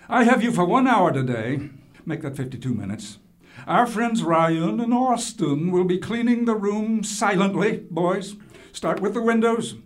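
An actor playing a stern, sardonic high school teacher in a radio drama, speaking deliberately and with command. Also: male voice. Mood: commanding, dry. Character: stern, dry, world-weary male high school teacher supervising detention.

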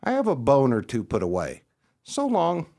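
A man's voice: mid-range voice, huge pitch swings, moderate pace, gravelly, dark tone, exaggerated voice. A man playing a frank, friendly, streetwise dog with a folksy manner in a radio play. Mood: frank, advising. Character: streetwise, frank, friendly older-hand dog giving advice.

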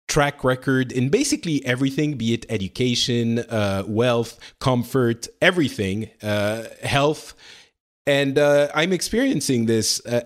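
Male panel host, mid pitch, casual and hesitant, lots of ums. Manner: hesitant, many fillers, laughs easily. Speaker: podcast host.

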